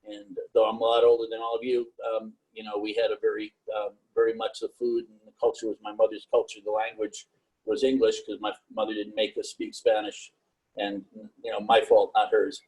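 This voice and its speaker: Middle-aged man, monotone, slightly husky, rambling career chat. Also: on the low side, poor audio. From New York. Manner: monotone, halting with many fillers. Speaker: panelist. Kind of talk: casual career talk on a video call.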